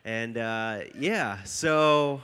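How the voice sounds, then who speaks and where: A man's voice: medium pitch, drawn-out words, sharp tone, smooth. Stuffy-nosed young man giving a casual sermon. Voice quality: slightly nasal. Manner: casual and joking, reading scripture aloud. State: stuffy nose from a cold. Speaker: youth pastor. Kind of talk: casual sermon to a youth group.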